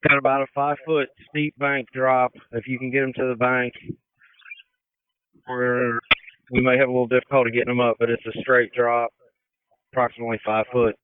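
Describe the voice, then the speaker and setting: Crisp American man on tinny radio. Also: medium pitch, little pitch movement, fairly quick, long pauses, dry recording. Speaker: emergency officer on radio. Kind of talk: emergency radio status reports. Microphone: two-way radio. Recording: tinny, dry two-way radio.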